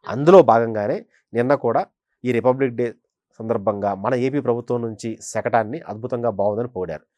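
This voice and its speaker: Casting: male senior journalist, middle-pitched, emphatic and repetitive pundit delivery, dry close recording. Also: fairly quick, a little mellow, no echo. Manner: emphatic, repeats phrases for stress. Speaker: senior journalist, political analyst. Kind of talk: conversational political commentary.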